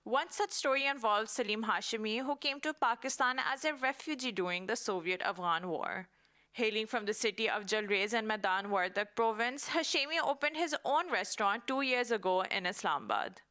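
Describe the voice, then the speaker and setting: A woman correspondent narrating a scripted news report in a measured voice-over. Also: a little high, animated, pushed and bright.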